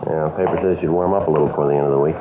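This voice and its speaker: Stoic american cop from old 50s radio show, super deep quick clipped deadpan, muffled sound. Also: male voice, noisy background. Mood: calm, polite. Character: stoic, businesslike male police detective sergeant who narrates. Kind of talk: 1950s radio crime drama acting and narration.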